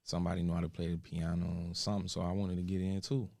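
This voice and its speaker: A man's voice: low-pitched, flat delivery, fast, gravelly, mellow. A man from Florida, a musician guest, chatting casually and laid-back, often saying "you know what I'm saying". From Pompano Beach, Florida. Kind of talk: casual chat.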